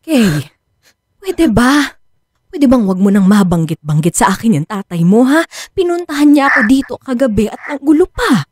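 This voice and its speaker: High-pitched, defiant, fed-up wife. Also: female voice, sing-song, moderate pace, darkish tone, dry recording. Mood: irritated, dismissive. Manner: emphatic, scolding, emotional. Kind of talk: radio drama acting. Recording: music bed behind the voice.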